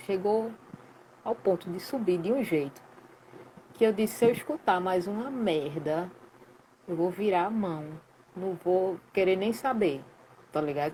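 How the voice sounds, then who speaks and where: A woman in her late thirties from Recife with a Pernambuco accent, telling a story in a lively, colloquial way full of slang during a casual live chat. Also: mid-range voice, a little hiss or hum, rough recording. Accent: Pernambuco Portuguese. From Recife, Brazil. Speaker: guest, sound professional.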